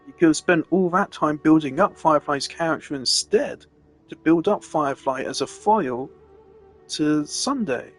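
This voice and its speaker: A man's voice: fairly high pitch, lively intonation, normal speed, soft background music. A man giving a casual, opinionated rant on a live stream, emphatic and exasperated in the way he talks.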